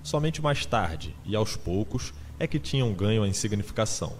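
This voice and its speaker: A man's voice: lowish voice, animated, normal speed. A man narrating a literary audiobook in a dramatized style. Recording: noisy ambient sound-effects bed. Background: ambient sound effects.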